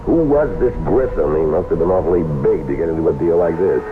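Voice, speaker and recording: A nervous, stammering radio lead. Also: male voice, low voice, animated, fast talker, noisy background. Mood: anxious. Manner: anxious, stammering. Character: nervous man mistaken for a dead gangster. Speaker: lead character. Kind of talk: 1970s radio drama acting. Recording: lo-fi phone-band old radio recording with music.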